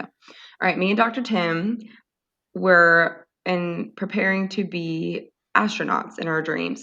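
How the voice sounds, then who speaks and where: A hoarse woman co-hosting a podcast, casually rambling through a dream story and laughing often. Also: fairly low pitch, bright, forceful tone, highs cut off. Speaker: podcast co-host. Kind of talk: casual chat, telling a dream.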